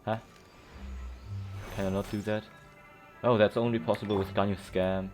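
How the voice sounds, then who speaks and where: A man's voice: lowish voice, level tone, moderate pace. A man casually commentating as he plays a video game, rambling and thinking aloud. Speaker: gameplay commentator. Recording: game music loud behind. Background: game music.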